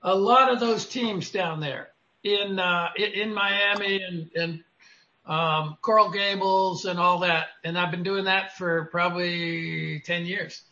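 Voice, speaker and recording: A man's voice: sits high, steady tone, slow pace, pushed and bright, reverberant, highs cut off. A man chiming in during a business meeting, casual and drawn out. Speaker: meeting participant.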